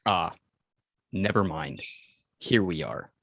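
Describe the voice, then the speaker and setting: A man voicing a calm alien robot companion in a formal, deadpan manner at an amateur table read. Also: low voice, slow pace, gravelly, heavily acted.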